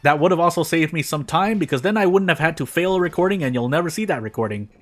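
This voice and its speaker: A man's voice: on the high side, expressive, fast, soft background music. A man doing casual gaming video narration and commentary in a rambling, self-deprecating way. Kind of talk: casual gameplay commentary and fanfic reading.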